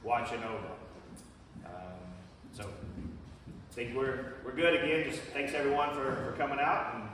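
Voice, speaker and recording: Man speaking to a school crowd, halting and friendly, echoey hall, far from the mic. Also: medium pitch, a bit bright, a little hiss or hum. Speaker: charity biker speaking to students. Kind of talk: casual address to a school.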